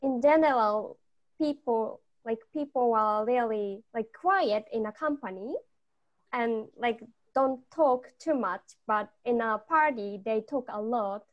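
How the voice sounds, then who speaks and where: Woman in her 20s, unhurried, hesitant, searching for words. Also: on the high side, muffled recording. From Japan. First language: Japanese. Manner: shy, searching for words, laughing softly. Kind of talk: casual panel discussion.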